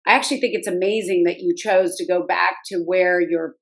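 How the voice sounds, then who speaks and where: A woman's voice: lowish voice, fairly even intonation, brisk, creaky. A woman hosting a podcast interview, asking thoughtful, reflective questions. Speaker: podcast host. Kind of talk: conversational interview.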